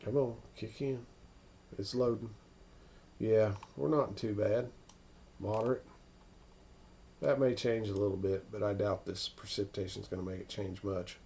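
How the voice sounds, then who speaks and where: An American man hosting a casual, unscripted weather livestream, thinking aloud in a halting way as he answers viewers. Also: lowish voice, steady tone, darkish tone, slight background noise. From northern United States.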